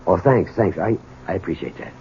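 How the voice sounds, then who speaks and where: Troubled husband, thin old-radio sound. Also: male voice, deep voice, lively intonation, highs cut off, noisy background. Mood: anxious, pleading. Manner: halting, emotional delivery. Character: troubled, desperate husband and small business owner, bitter toward his father. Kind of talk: 1970s radio drama acting.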